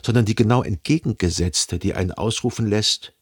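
A man playing a reflective, lovelorn narrator recalling his past in a literary radio drama, measured and contemplative. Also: lowish voice, husky. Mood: wry reflection.

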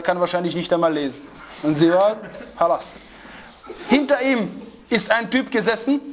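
A man's voice: high-pitched, a little hiss or hum. A man preaching to a small group in an informal sermon, telling an anecdote and mixing in Arabic phrases. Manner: storytelling, lively, mixes in Arabic phrases. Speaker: preacher giving a religious lesson. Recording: dull lo-fi recording.

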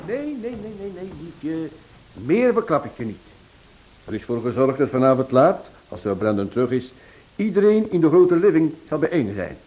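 A suave amateur sleuth in a radio play. His voice sits fairly high, polished and teasing, with a playful condescension. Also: very animated, moderate pace, a little mellow, faint music behind, low-quality recording. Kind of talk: Dutch-language radio drama acting.

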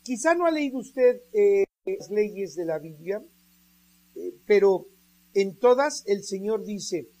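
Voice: mid-range voice, lively intonation, slow, long pauses, dark tone. A radio preacher delivering a sermon, speaking emphatically and building the message through rhetorical questions.

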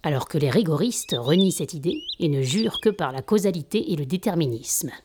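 A woman playing a grave, measured mentor of a resistance in an audio drama. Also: low voice, animated. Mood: solemn. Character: Orion, solemn mentor master of a resistance. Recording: clear background noise of birdsong.

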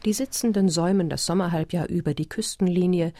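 A narrator in a radio play, reading a whimsical documentary report in a measured, formal, matter-of-fact way. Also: medium pitch, darkish tone, no echo, studio quality, close to an everyday voice. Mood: sober, measured.

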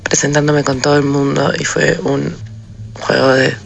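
A woman with an Argentine accent telling a casual anecdote haltingly in a voice message with faint noise behind. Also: a bass voice, little pitch movement, gravelly. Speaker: listener sending an audio message.

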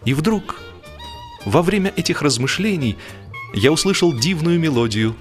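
A man narrating a humorous literary story as an audiobook reader, with expressive delivery. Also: on the high side, normal speed. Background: music behind the voice.

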